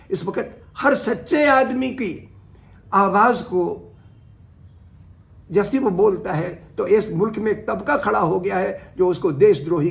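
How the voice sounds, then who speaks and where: Older man, gravelly, higher-pitched voice. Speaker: professor giving a talk. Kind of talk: reading a historical speech aloud, then earnest commentary.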